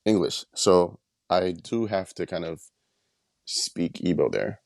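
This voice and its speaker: A man from Nigeria answers interview questions in a very low voice, recorded dry and close. He reflects as he talks, often pausing on "um" and "you know". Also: animated, vocal fry, no echo. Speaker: guest artist.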